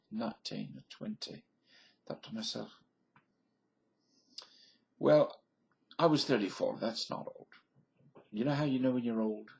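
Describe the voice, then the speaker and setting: Slow, gravelly middle-aged man rambling. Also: medium pitch. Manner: blunt, opinionated, wandering asides. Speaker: vlogger. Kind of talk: rambling casual monologue.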